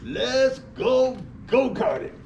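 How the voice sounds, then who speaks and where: Man acting cocky sarcastic young guy, high gravelly voice, amateur play reading, echoey room. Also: expressive, slow pace, darkish tone, highs cut off, full character voice. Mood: sarcastic, breezy. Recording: echoey room with faint noise.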